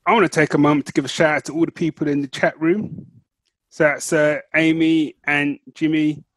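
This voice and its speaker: A man's voice: fairly high pitch, flat delivery, brisk, no room sound. A British man co-hosting a podcast in casual, playful, slangy and teasing banter. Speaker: podcast co-host.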